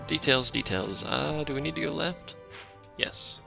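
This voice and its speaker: A man with a deep voice giving casual, rambling commentary while he plays a game and chats with his stream viewers, offhand and self-deprecating. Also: lively intonation, a little slow, creaky, music in the background. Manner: chats with a live stream chat. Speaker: let's play streamer.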